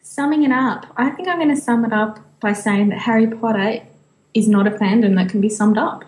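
A woman's voice: a little high, a little fast, a little mellow. A woman co-hosting a podcast in casual fan discussion. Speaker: podcast co-host. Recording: roomy echo, faint background noise.

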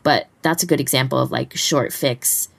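A woman's voice: rumbling low, moderate pace, creaky, husky, no echo. A woman co-hosting a podcast in casual chat, with a hesitant, hedging way of putting things. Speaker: podcast co-host.

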